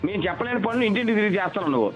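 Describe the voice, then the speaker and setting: Phone-line male, high and very fast, aggressive local boss type, loud music behind. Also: expressive. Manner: aggressive, hectoring, repetitive. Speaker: local political leader. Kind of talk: heated phone argument. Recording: tinny leaked phone call.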